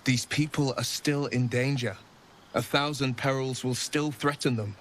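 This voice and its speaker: Courtly, wistful actor as gallant adventurer. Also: male voice, medium pitch, fairly even intonation, measured pace, slight background noise, close to an everyday voice. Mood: downcast, earnest. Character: gallant, wistful, modest male adventurer of noble upbringing. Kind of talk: cinematic fantasy game acting.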